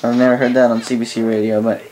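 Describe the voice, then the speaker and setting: A man giving a casual, unscripted product review in a rambling, halting way, often correcting himself, recorded indoors. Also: medium pitch, flat delivery, a little mellow. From Canada. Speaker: host of a radio gear review channel. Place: indoor room full of radio gear.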